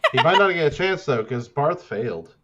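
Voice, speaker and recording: A man's voice: on the high side, animated, moderate pace, highs cut off, very noisy. A man talking casually as a player on a tabletop roleplaying podcast, describing his moves. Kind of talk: casual tabletop game talk.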